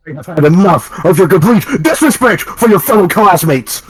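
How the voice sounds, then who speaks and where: A man's voice: high voice, lively intonation, moderate pace, breathy edge, no echo. A man voicing a stern, outraged female fox schoolteacher in an amateur cartoon audio drama.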